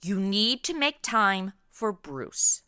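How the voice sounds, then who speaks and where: A woman's voice: mid-range voice, very animated, slow. An actress playing a sarcastic modern young woman and reluctant sidekick, snarky and blunt, in a comedy radio play. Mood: incredulous.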